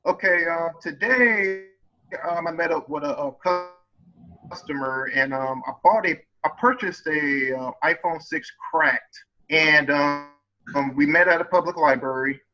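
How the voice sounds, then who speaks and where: A man calling into an online session, speaking hesitantly and filling pauses with ums. His voice is bright and a little tense. The call audio is dull and lo-fi. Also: a little high. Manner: hesitant, many fillers. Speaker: student caller. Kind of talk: casual call.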